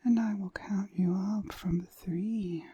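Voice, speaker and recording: A woman playing a dominant, seductive witch mistress in a whispered ASMR hypnosis roleplay, breathy, soothing and commanding. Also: mid-range voice, fairly even intonation, slow, warm tone, no room sound.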